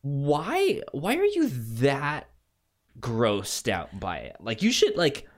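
Halting, slow-paced man with animated pitch. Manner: halting, stammering over words. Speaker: podcast co-host. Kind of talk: casual podcast chat.

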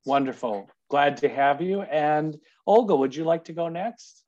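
A man hosting a podcast, introducing his guests in a measured, welcoming way. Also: a little high, darkish tone, muffled recording. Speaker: podcast host.

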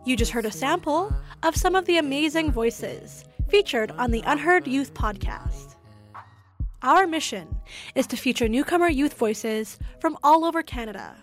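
A woman hosting a podcast, reading a scripted introduction in a clear, upbeat way. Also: high-pitched, animated, moderate pace, faint music behind. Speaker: podcast host.

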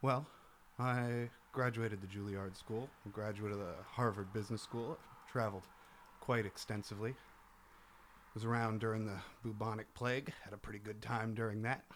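A man's voice: fairly low pitch, steady tone, moderate pace, a little hiss or hum, natural voice. A man playing a boastful ghost in a comic job interview skit, with theatrical delivery. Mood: smug, casual.

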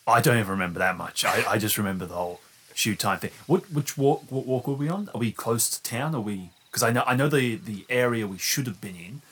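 A man's voice: on the low side, a little fast, a bit bright, husky. A man improvising a rambling, oblivious hiker who over-explains every procedure in an audio roleplay. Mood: confused, defensive.

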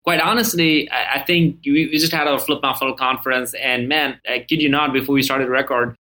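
A man's voice: medium pitch, fairly even intonation, brisk, sharp tone. A man hosting a podcast interview, chatty and enthusiastic, often restarting his sentences. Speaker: podcast host.

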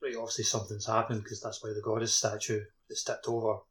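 Low-voiced man in casual chat. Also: flat delivery, fast talker, over a noisy crowd. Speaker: podcast participant. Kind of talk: casual video game chat.

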